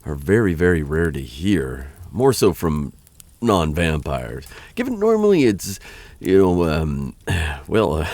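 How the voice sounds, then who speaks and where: A male actor plays a flustered vampire lord with an extremely low, husky voice. He muses slowly in halting, stammering phrases, courteous but a little aloof, with lively swings in pitch, as in an intimate ASMR audio roleplay. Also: dry recording, exaggerated voice. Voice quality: very deep, gravelly, husky voice. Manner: hesitant, stammering, musing with sighs and trailing pauses.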